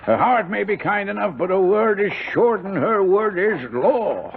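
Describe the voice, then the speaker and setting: Creaky, high-voiced old country driver. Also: male voice, animated, a little slow. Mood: gossipy, wry. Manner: folksy, rambling, old-fashioned rural turns of phrase. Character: chatty older male hired driver, folksy and superstitious. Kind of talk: 1970s radio drama acting. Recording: noisy, narrow-band old radio broadcast.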